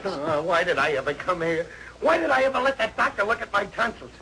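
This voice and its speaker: A man's voice: high-pitched, a little fast. A man with an American accent playing a cowardly, melodramatic, middle-aged family man in a comedy, with a whiny, blustering delivery. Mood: anxious grumbling. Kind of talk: broad situation comedy acting before a laughing audience. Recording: noisy vintage broadcast, audience behind.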